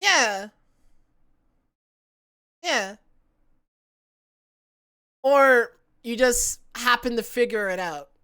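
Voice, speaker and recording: A woman's voice: fairly high pitch, lively intonation, very slow, long pauses, bright, forceful tone, smooth. A young adult woman with a Southern American accent mixed with internet influences, chatting casually as a livestreamer in a playful, giggly, self-mocking way from her home setup. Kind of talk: casual stream chat.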